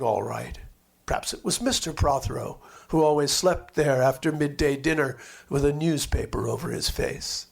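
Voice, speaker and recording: Male narrator, slightly high voice, expressive and measured literary reading, savouring the words. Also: gravelly.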